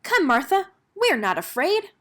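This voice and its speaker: A woman's voice: extremely high pitch, sing-song, unhurried, a bit bright, pitched above the performer's own voice, full character voice. A woman voicing a young trick-or-treating girl in a storybook, bossy but a little nervous. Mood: bright, assertive.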